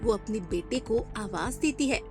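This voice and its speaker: Lively woman narrating a cartoon tale. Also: fairly high pitch, moderate pace, music in the background. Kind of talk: scripted cartoon story narration.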